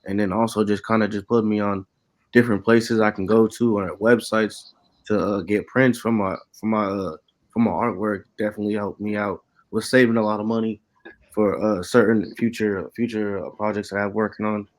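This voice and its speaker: A man in his late twenties, an artist, talking casually and haltingly on a panel with lots of "you know". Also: fairly low pitch, fairly even intonation. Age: late 20s. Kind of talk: casual unscripted artist talk.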